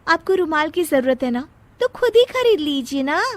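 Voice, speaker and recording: A woman's voice: high-pitched, sing-song, moderate pace, dry recording. A woman voicing a lazy young daughter-in-law in a family story, whiny, languid and evasive. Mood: dismissive. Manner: whiny, languid, excuse-making.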